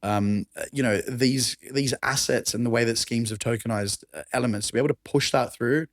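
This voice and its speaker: A man with an Australian accent and a raspy voice, talking enthusiastically as a podcast guest. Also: mid-range voice, a little fast, no echo, studio quality. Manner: enthusiastic, conversational, laughs often. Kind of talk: casual chat.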